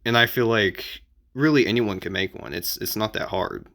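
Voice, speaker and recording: A man giving casual advice as an amateur video creator, explaining in an informal, halting and repetitive way. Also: on the low side, fairly bright tone.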